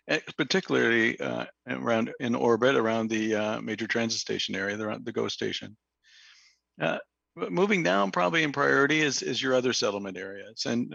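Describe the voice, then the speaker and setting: A man with a slightly hoarse, gravelly voice works through a planning presentation at a public meeting, pausing on fillers and restarting his phrases. The audio is dull and lo-fi, with a dry, close sound. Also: mid-range voice, a bit bright, no room sound. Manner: halting, many fillers and restarts. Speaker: planning consultant presenting to council.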